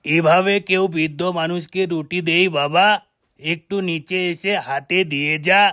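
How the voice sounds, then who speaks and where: Guy doing a hungry old man for a cartoon, high clear voice, wheedling. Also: steady tone, moderate pace, bright, forceful tone. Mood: pleading. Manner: wheedling, pleading.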